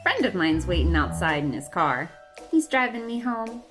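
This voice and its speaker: Woman playing a gushing, animated society lady. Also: fairly low pitch, moderate pace, over music. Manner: effusive, mannered. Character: gushing, polite society woman in detective drama. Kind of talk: 1950s-style radio play acting.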